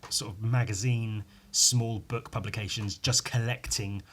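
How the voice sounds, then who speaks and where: A man with a croaky voice rambling casually on his own as a podcast host, informal and sweary, poking fun at himself and tacking questions onto his remarks. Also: fairly low pitch, fairly even intonation, a little slow, fairly bright tone, slight background noise. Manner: sweary, informal, self-deprecating, with tag questions. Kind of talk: casual rambling solo chat.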